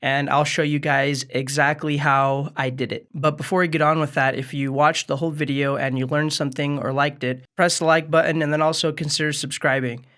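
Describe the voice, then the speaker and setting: A man's voice: fairly high pitch, a bit bright. A man presenting a casual step-by-step tutorial vlog in an easygoing, conversational way, addressing his viewers directly. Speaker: music gear video maker and presenter.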